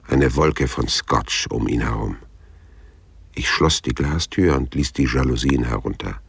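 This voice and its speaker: A man's voice: rumbling low, vocal fry, breathy edge, dry recording, full character voice. An actor playing a laconic, probing private detective who narrates his case tersely and matter-of-factly in a German radio crime drama. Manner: dry, matter-of-fact, terse.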